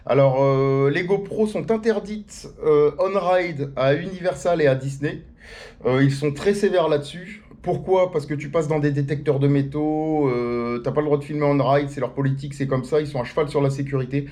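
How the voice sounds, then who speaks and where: A man answering viewer questions in a casual video, slangy and joking, talking to his audience like friends and often jumping into a higher pitch for emphasis. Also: normal speed, warm tone. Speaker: theme-park YouTuber answering viewer questions. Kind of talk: casual chat, FAQ to camera.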